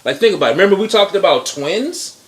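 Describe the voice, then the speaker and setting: A man giving blunt, profane opinions in casual chat as co-host, with sudden jumps in pitch. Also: expressive, quick pace, fairly bright tone.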